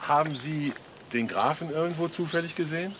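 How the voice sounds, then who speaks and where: An actor playing a dry, laconic Hamburg police detective in a crime drama, questioning people with suspicion. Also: male voice, on the high side, a little fast, background noise, natural voice. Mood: politely probing.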